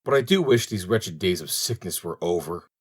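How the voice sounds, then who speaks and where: A man's voice: low-pitched, lively intonation, normal speed, slightly rough. Man voicing a naive young fairy-tale man. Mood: indignant.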